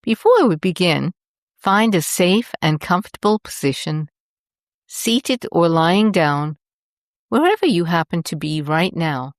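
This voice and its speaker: A woman host narrating a guided meditation in a gentle, soothing and deliberate manner. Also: fairly low pitch, expressive, no room sound, clean studio sound.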